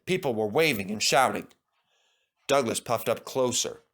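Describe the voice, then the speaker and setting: A man's voice: mid-range voice, moderate pace, fairly bright tone. A man reading a scripted children's story as its narrator.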